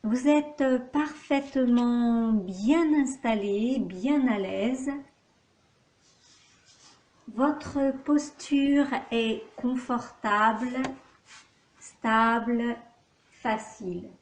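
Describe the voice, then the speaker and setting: In an echoey room, a woman with a soft, mellow voice leads a guided meditation as a yoga teacher. She speaks extremely slowly and calmly, leaving long pauses between short, measured instructions. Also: fairly high pitch.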